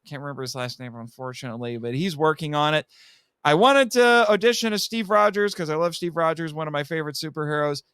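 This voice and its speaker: A man's voice: fairly high pitch, a bit bright, smooth, no echo, studio quality. A man chatting casually as a podcast guest, telling things in a rambling, theatrical way.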